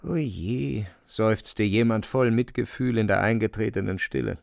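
A man's voice: lowish voice, measured pace, creaky, no echo, studio quality. A man narrating a radio drama in a dramatic, wry style, relishing emphatic wording.

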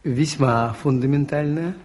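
An actor playing a mild, shrewd priest detective, hesitant and quietly inquisitive, in a 1980s Soviet radio play. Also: male voice, medium pitch, measured pace, natural voice. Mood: curious. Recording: hissy old radio drama recording.

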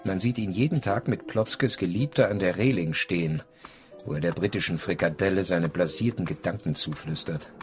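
An actor playing a sardonic travelling writer in a radio drama, caustic and clipped. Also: male voice, sits low, normal speed, soft background music, natural voice. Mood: dry, sardonic. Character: sardonic travelling writer narrating his journal. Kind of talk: radio drama narration and dialogue.

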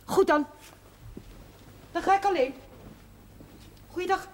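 An actress playing a proud, brisk woman who mocks and commands in a television drama. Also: very high voice. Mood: haughty, impatient. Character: brisk, proud woman, scornful of her weak suitor.

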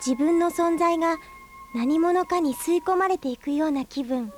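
A quiet, reflective young woman who narrates her own thoughts softly. Her voice sits high, and clear music plays behind her in this radio drama. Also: moderate pace. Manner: soft-spoken, reflective inner narration. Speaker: narrator and lead character.

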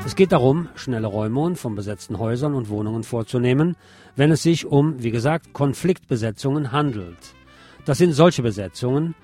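A male news presenter reads from a script for a local radio bulletin. His voice sits at an average pitch for a man. Instrumental guitar music plays quietly behind him.

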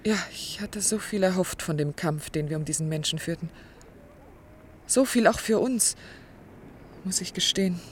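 An actress playing an anguished, dutiful clinic worker in love in a German radio drama, earnest, formal and restrained. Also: female voice, on the low side, normal speed, long pauses, slight background noise, close to an everyday voice. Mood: wistful, hurt. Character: anguished, resigned, dutiful woman working at a clinic, in love with a doctor.

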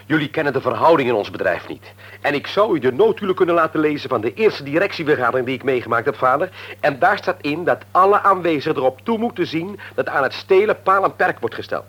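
An indignant grown man in a radio drama, insistent and argumentative. His voice is fairly high and husky, with lively swings in pitch as he defends himself. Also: quick pace, lo-fi sound. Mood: aggrieved. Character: indignant grown son, demoted at work, stubbornly defending himself. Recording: old radio play recording.

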